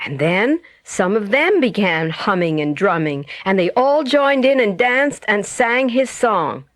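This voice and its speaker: A woman narrating a children's bedtime story as a storyteller, with expressive, dramatic and carefully phrased delivery. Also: mid-range voice, unhurried, no echo, studio quality. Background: music.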